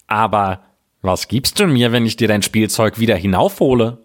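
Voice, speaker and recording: A man voicing a croaking fairy-tale frog who pleads and bargains, in a storybook character voice. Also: lowish voice, normal speed, natural voice. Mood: bargaining. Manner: punctuates speech with quacking croaks.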